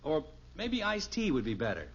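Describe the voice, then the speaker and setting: High-voiced, blustery radio sitcom dad. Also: male voice, wildly swooping pitch, normal speed, husky, exaggerated voice. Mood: businesslike. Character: blustery, easygoing middle-aged sitcom father. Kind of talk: 1950s radio sitcom acting. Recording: muffled, hissy old radio recording.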